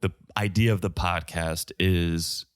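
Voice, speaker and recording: A man's voice: low-pitched, steady tone, unhurried, clean tone, no echo. A man with a Southern American accent co-hosting a reality TV podcast in casual chat, with dry asides. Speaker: podcast co-host.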